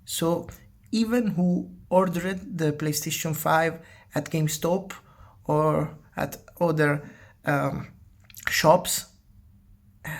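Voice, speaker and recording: An Italian-accented woman talks to her channel's viewers in English, her second language. Her voice sits low for a woman and she moves at an unhurried pace, pausing and repeating words as she finds the next phrase. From Italy. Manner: hesitant, often repeating words and restarting phrases. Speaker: channel host. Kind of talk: casual spoken commentary.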